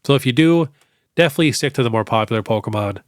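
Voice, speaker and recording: A young man with a stuffed-up nose from a cold, hosting a casual question-and-answer show in a relaxed, chatty way full of fillers. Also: mid-range voice, rapid-fire, dry recording, studio quality. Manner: relaxed, chatty, many fillers like "you know". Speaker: host. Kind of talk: casual Q&A on card collecting.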